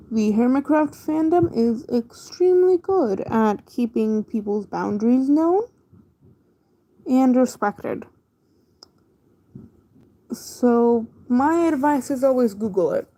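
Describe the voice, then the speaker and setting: A woman with a slightly high, mellow voice talks casually to a small stream. She takes her time, letting thoughts trail off in a laid-back way. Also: rough recording. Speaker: livestreamer. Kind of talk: casual livestream chat while drawing.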